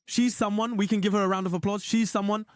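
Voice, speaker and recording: A hoarse man giving excited, repetitive casino slot commentary as a streamer. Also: high voice, fast talker, dry recording, clean studio sound.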